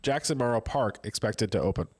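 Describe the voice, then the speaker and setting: A man's voice: on the low side, lively intonation, fairly quick, a little mellow. A man in his thirties with a cold and a Midwestern American accent, co-hosting and reading viewer questions aloud with casual asides. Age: 30s. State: has a cold. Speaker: co-host reading live-chat questions. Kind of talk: casual talk show chat.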